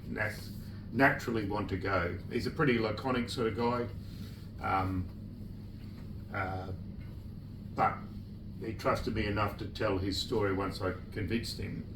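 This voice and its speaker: A man with a lowish voice talks about his book at a launch, relaxed and reflective. The sound is roomy and distant, and clear room noise runs underneath. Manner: relaxed, reflective and plain-spoken. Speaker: author. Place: bookshop event room. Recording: echoey room, distant mic.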